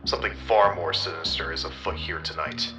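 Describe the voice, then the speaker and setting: Man playing a low-voiced deadpan detective in echo. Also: fairly quick, far from the mic. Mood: deadpan, self-assured. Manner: deadpan, self-serious. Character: deadpan, clueless, self-assured male private detective. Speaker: narrator and lead detective character. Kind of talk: hard-boiled detective parody narration. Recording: echoey room. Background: music.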